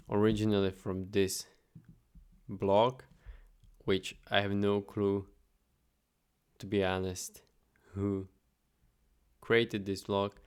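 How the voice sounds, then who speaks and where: Young male vlogger, low gravelly voice, relaxed chatty delivery, clear tone. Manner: relaxed, chatty, thinks aloud with fillers. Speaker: automation agency owner vlogging. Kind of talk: casual screen-share vlog.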